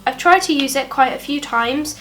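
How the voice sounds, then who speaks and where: A woman's voice: high-pitched, moderate pace, fairly bright tone. A young British woman with a stuffy nose from a cold, talking through a product review in a subdued, apologetic way. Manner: subdued and apologetic, chatty with fillers. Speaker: beauty vlogger. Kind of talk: casual product review talk.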